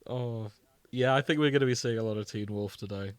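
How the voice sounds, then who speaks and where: A man with a medium-pitched voice co-hosts a casual podcast. He speaks in an easygoing, conversational way, unscripted and informal. The recording is dry, with no room sound. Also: a little fast, smooth, dry recording. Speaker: podcast co-host.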